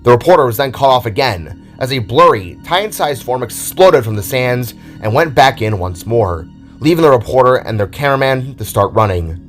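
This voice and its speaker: A man's voice: medium pitch, expressive, normal speed. A man reading scripted, dramatic narration for an audio drama, with music behind his voice.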